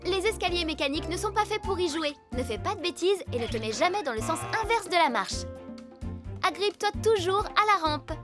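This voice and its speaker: Cartoon safety teacher, kindly talking rescue car; very high, instructive, over loud music. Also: female voice, animated, a little fast. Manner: clear, instructive, addressing children. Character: caring female rescue vehicle, gentle safety teacher. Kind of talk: children's cartoon safety lesson. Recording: loud children's music behind.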